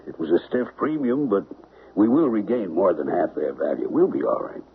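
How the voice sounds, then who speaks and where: A man playing a crafty, smooth-talking diamond merchant in a radio mystery, with measured, deliberate, courteous speech. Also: on the low side, big pitch swings. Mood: smooth reassurance. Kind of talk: old-time radio mystery acting. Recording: muffled vintage radio broadcast, faint hiss.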